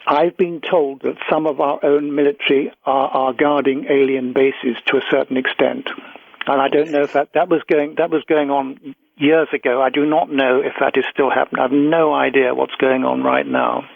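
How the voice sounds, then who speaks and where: A British man giving a radio interview as a guest researcher, recounting accounts in a halting, careful way. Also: mid-range voice, little pitch movement, creaky, no room sound. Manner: hesitant, halting, with repeats and careful hedging. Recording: thin, tinny phone line on radio.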